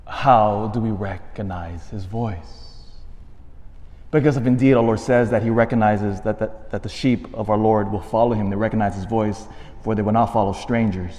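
A man, a priest, giving a homily with a storytelling manner, dropping to a hush and rising to animated emphasis. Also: lowish voice, normal speed. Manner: storytelling, swings from hushed to animated. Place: church. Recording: long reverb of a church.

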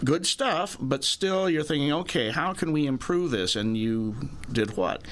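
A man's voice: fairly high pitch, lively intonation, a little slow. A man hosting a talk radio interview, asking leading questions and often answering "mm-hmm.". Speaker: radio talk show host.

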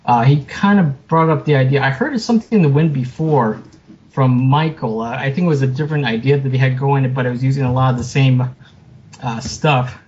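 A man's voice: mid-range voice, fairly quick, a little mellow, slight background noise. A man as a podcast guest, talking in a rambling, hesitant way with many fillers and easy laughs. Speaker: guest, writer. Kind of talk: casual interview. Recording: dull lo-fi remote audio.

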